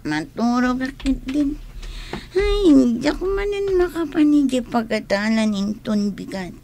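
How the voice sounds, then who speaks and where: An actress with an Ilocano accent playing an anxious, reluctant young student in a radio drama. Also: female voice, high-pitched, expressive, a little slow, warm tone, slight background noise.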